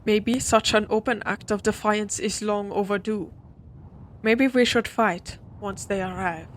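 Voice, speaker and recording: A woman's voice: mid-range voice, fairly even intonation, a little hiss or hum, natural voice, low-quality recording. Woman playing a proud ancient vampire lady. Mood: regal, grave. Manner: formal, stately, measured wording. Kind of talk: dramatic audio roleplay.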